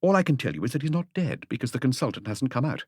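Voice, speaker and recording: A man's voice: mid-range voice, sing-song, fast, a little mellow, husky, dry recording, clean studio sound, pitched above the performer's own voice. A man voicing a stern, disagreeable hospital matron in an audiobook, curt and withering. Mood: curt, disdainful.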